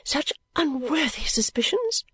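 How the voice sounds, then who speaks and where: A woman playing a gentle, earnest young lady who pleads and softly reproaches, in acted dialogue from a classic novel. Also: on the high side, moderate pace, no room sound, close to an everyday voice. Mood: remonstrating.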